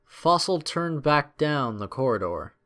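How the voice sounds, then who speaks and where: A man narrating a scripted adventure story in a measured, expressive, dramatic storytelling style. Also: fairly high pitch.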